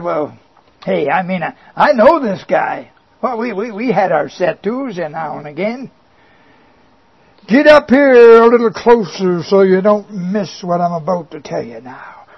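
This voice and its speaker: A man's voice: sits high, expressive, a little slow, husky, exaggerated voice. A man doing a sly, sneering devil. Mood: mocking. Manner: wheedling, sneering, boastful, with chuckles. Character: sly boastful male devil, mocking and coaxing. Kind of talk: comic monologue performance. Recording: dull lo-fi recording.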